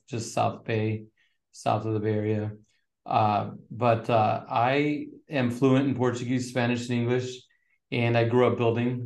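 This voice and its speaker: A middle-aged man from Northern California, a real estate agent chatting casually as an interview guest with relaxed fillers. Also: medium pitch, echoey room. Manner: relaxed, fillers, flat steady delivery.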